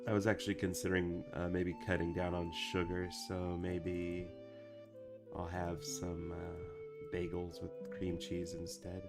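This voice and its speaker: A man with a low voice talks slowly and loosely, as if chatting with viewers between comments. He rambles, restarts and fills gaps with "uh" and "um." Music plays clearly in the background. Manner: rambling, relaxed, with many fillers and restarts. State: recovering from a week of sickness. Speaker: animator and channel producer. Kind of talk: casual livestream chat reading comments.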